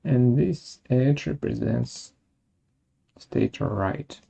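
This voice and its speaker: Slow, husky, creaky software tutorial presenter. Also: mid-range voice. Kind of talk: step-by-step software tutorial narration.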